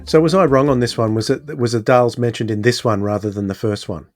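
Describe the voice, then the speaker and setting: A man's voice: mid-range voice, steady tone, brisk, darkish tone, no echo, soft background music. A man presenting and discussing as a podcast host.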